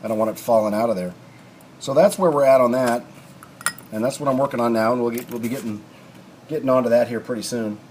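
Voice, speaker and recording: A man's voice: medium pitch, fast, long pauses, darkish tone. A man giving an unscripted, casual workshop tutorial, rambling and thinking aloud in a folksy way as he works. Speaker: hobbyist restorer demonstrating repairs. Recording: clear background noise, home workshop video.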